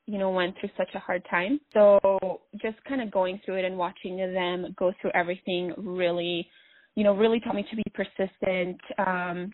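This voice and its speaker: A woman's voice: medium pitch, no echo. Earnest woman from Bosnia calling in, thin phone-line sound. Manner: earnest, reflective, with frequent fillers. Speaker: podcast guest. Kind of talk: phone interview. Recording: dry tinny phone line.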